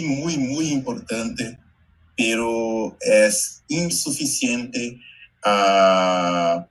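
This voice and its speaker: A Brazilian man whose first language is Portuguese, speaking slowly and haltingly in Spanish mixed with Portuguese, giving a talk over a video call. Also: mid-range voice, low-quality recording. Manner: slow, careful, halting Portuguese-Spanish mix with many pauses. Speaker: invited speaker, educator.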